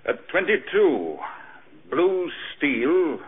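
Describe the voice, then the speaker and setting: A man's voice: on the high side, sing-song, extremely slow, full character voice. A man playing a mild, respectful police detective in an old radio drama, with a musing manner. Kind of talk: 1950s radio drama acting. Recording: muffled old radio recording.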